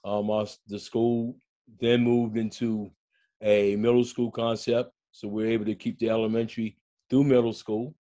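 An older man and school coach speaking on a video call from his home, telling stories in a slow, reflective way during a panel discussion. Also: fairly low pitch, flat delivery, mellow. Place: home room, indoors.

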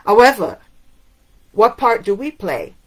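A woman's voice: fairly high pitch, big pitch swings, unhurried, breathy edge. A middle-aged woman from Cuba whose first language is Spanish, preaching a sermon to camera in an emphatic way, asking many rhetorical questions. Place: indoors in front of a waterfall backdrop.

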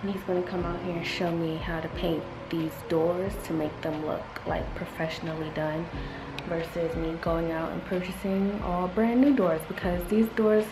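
A woman's voice: on the low side, level tone, moderate pace, background noise. A young woman talking to a handheld camera in an empty room of a house she is renovating, chatty and upbeat as she explains her plans. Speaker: vlogger renovating her home. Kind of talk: casual vlog talk to camera. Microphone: handheld camera at arm's length.

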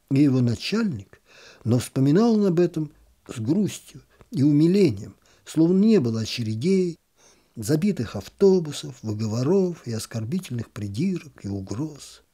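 A man's voice: on the high side, warm tone, slightly rough. A man narrating a literary short story as an audiobook reader.

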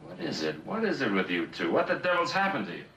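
An actor playing a wry, informal artist friend in a television drama. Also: male voice, mid-range voice, very animated, fast, gravelly, reverberant, heavy background noise. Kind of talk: 1960s television drama acting.

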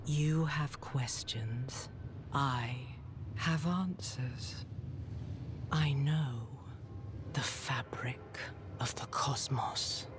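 A woman playing a calm, seductive and menacing demon queen in a dark fantasy game, speaking in a breathy, deliberate way. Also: very deep voice, noisy background, heavily acted. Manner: slow, breathy, deliberate delivery. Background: music.

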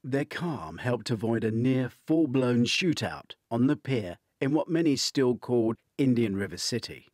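A man's voice: mid-range voice, lively intonation, unhurried, few pauses, a little mellow, no echo, clean studio sound. An older man, an author reading his own thriller as audiobook narration.

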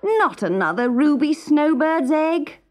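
A woman voicing a spoiled, demanding young princess in a storybook, with petulant, breathy exclamations. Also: high-pitched, sing-song, unhurried, darkish tone, soft background music, pitched above the performer's own voice. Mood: cross, demanding.

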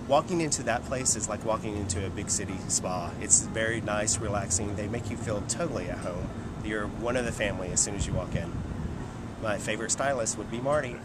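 A man, talking casually to camera about favorite local places in a friendly, upbeat way with frequent "um" and "uh". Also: lowish voice. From Kentucky. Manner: friendly, upbeat, filler-laden. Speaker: local restaurant worker recommending shops. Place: outdoors by a building, also indoors by a chalkboard. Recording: loud outdoor ambient noise.

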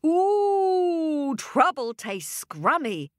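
A woman doing a squeaky, stammering little monkey. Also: very animated, drawn-out words, dry recording, studio quality, heavily acted. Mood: delighted. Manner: hooting and stammering, squealing with delight. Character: little male monkey, greedy, curious, excitable.

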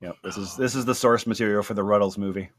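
A man's voice: on the low side, fast. A man tossing out wry, casual joking asides as a podcast panelist.

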